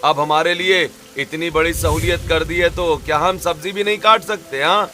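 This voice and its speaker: A man's voice: a little high, steady tone, normal speed, over music, natural voice. A man voicing a kindly, cheerful village farmer in a cartoon story. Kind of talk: cartoon story narration and voice acting.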